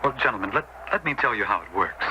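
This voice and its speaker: An actor playing an earnest, moralizing brother in a radio drama, speaking in a righteous, deliberate way. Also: male voice, on the low side, sing-song. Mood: righteously exposing. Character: earnest, sanctimonious, moralizing brother, a decent man. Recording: slightly noisy old radio recording.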